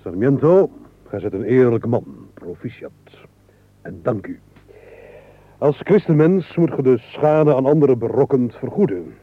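An actor playing a dignified, courteous procurator in a comic radio play, husky and formally polite. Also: male voice, medium pitch, animated, a little slow. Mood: solemn, grateful. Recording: muffled narrow-band 1980s radio recording.

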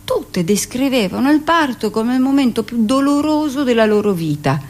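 A woman's voice: a little high, lively intonation, a little mellow, lightly acted. A woman playing a brusque, gossipy middle-aged hospital midwife who tells her memories in a theatrical, conversational radio monologue. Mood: confiding, reflective. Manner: conversational, dramatic pauses, shifts into mimicked speech. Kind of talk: theatrical first-person monologue, confiding storytelling.

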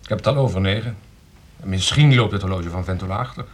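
An actor in a radio play playing a curious, half-admiring friend who asks questions briskly. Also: male voice, low voice, breathy edge. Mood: questioning.

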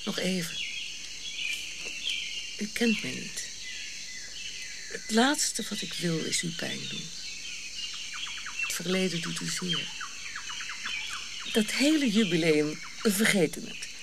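An actress playing a proud, middle-aged colonial lady in a radio play, effusive and halting, easily wounded. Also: lowish voice, expressive, heavy background noise. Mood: soothing, coaxing. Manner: dramatic, halting, repeating herself.

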